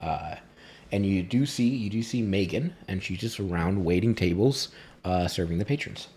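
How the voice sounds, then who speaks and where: A man improvising narration as a game master, halting often as he finds his words. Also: sits low, animated, darkish tone, breathy edge. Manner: halting, pausing to find words.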